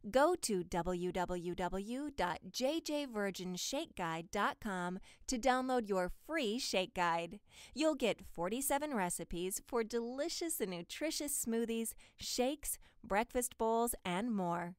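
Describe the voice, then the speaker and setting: Smooth, unhurried woman voice-over. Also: mid-range voice, animated, dry recording, studio quality. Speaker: voice-over artist. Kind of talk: scripted promotional voice-over for health and diet ads.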